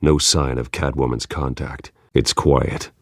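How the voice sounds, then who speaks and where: A menacing vigilante detective from a video game, with a bottomless, gravelly voice. He speaks in terse, controlled, intimidating lines, captured dry and close. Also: steady tone, unhurried, dry recording, full character voice. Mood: grim, watchful. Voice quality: very low, gravelly growl. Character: grim, menacing masked vigilante detective, adult man.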